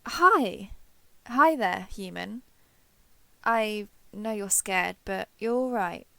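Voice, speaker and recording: A woman playing a giant friendly alien robot soldier in an audio roleplay, gentle, reassuring and a little awkward with the listener. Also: medium pitch, animated, slow, creaky, dry recording, full character voice. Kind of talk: scripted roleplay audio drama addressed to listener.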